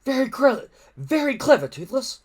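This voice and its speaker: An earnest Viking boy played by a man in a storybook. He reasons and protests sincerely, a reluctant young hero with animated, lifted pitch. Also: normal speed, exaggerated voice. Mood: kindly.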